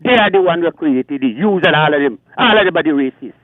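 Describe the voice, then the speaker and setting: A Guyanese man rings in over a thin, tinny phone line. His voice sits a little high and very dry. He argues his point insistently and keeps talking over the host. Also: lively intonation, quick pace, no room sound. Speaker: caller. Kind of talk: call-in rant.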